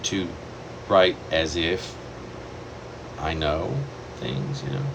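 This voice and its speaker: Man, poet, low voice, reflective reading, deliberate pauses, audible air-conditioner hum. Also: sing-song, vocal fry. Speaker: poet reading his own poem. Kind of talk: poetry reading.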